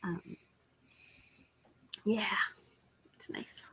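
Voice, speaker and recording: A woman's voice: extremely slow, a little mellow, in a whisper. A young woman hosting a casual livestream, reading out viewer chat and greeting people by name. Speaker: livestream host.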